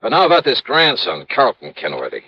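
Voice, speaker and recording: A skeptical American private investigator in a vintage radio detective show. He talks very quickly in clipped, wry phrases, and his pitch swings freely. The old broadcast recording sounds muffled and narrow. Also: huge pitch swings, vocal fry, slightly rough, no echo, heavily acted. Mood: skeptical, probing. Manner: clipped, wry, probing questions. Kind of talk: 1950s radio detective drama acting, narration and dialogue. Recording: dull, band-limited old radio recording.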